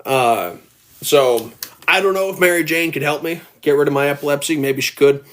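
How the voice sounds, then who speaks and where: A man in his early twenties hosting a casual livestream, talking earnestly and at length and reading viewer comments aloud, his voice turning gravelly at times. He is indoors at home, talking into a phone. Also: on the high side, normal speed, fairly bright tone. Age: early 20s. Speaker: livestream host.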